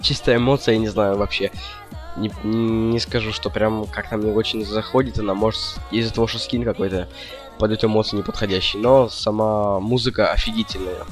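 Young male gaming host, lowish voice, casual and indecisive, faint game music behind. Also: fairly even intonation, a little fast. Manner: slangy, indecisive rambling to viewers. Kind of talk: casual gameplay commentary.

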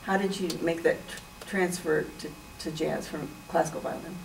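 Gravelly-voiced woman host, distant. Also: fairly low pitch, fairly even intonation, moderate pace, slight background noise. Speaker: host, violin teacher. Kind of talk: interview questions. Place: office room, indoors.

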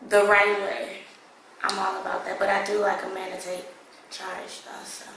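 A young woman with a raspy voice, speaking slowly and playfully as a blindfolded contestant on a blind date. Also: medium pitch, completely flat delivery, background noise. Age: young adult. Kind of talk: casual chat. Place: living room indoors. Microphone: far camera. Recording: echoey room from far off.

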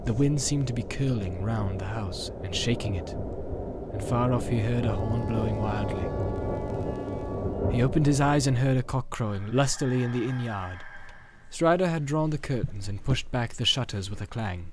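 A man's voice: medium pitch, normal speed, heavy background noise. A man narrating an audiobook in a dramatic storytelling style.

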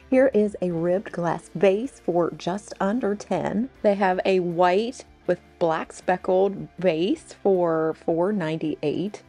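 A woman with a mellow, warm voice narrates a shopping walkthrough, upbeat and gushing over each find. She speaks close to the mic in a recorded voice-over, with soft music playing faintly underneath. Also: medium pitch. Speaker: home decor channel host.

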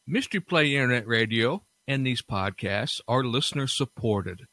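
A man hosts a podcast in an informal radio style. His mid-pitched voice is lively in tone but unhurried and halting, recorded very dry. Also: no echo. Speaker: podcast host. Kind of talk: informal scripted radio-show hosting.

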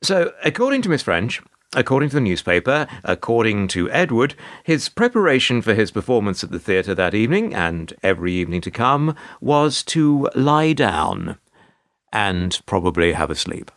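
A man's voice: on the low side, very animated, normal speed, a bit bright. A British man playing a weary middle-aged narrator in a radio play, dry, hesitant and sardonic. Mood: wry. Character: wry, weary older male narrator, reluctant bystander.

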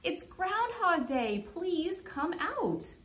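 A woman voicing eager townspeople calling out in a drawn-out singsong for a children's storybook. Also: very high voice, big pitch swings, a bit bright, slight background noise, pitched above the performer's own voice, exaggerated voice. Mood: eager, coaxing.

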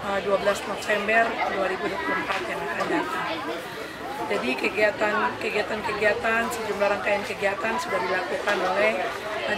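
A woman official gives a hesitant press statement, with many filler sounds. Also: medium pitch. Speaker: regional government official. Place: outdoor tent at a crowded health event. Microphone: handheld phones held up by reporters. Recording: distant mic, loud crowd and noise.

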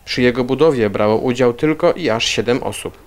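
A man's voice: mid-range voice, a little fast. Male local news voice-over. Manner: even, read-aloud delivery. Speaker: news reporter.

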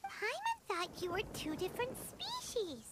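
A woman voicing a tiny, childlike, inquisitive fairy girl with a squeaky voice in an anime game. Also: huge pitch swings, moderate pace, background noise, exaggerated voice. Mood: curious. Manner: refers to herself in third person, blunt.

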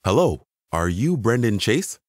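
Man playing insistent suited businessman, lowish voice, dry close sound, pressing, audio story. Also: very animated, measured pace, dry recording. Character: insistent new businessman in a suit.

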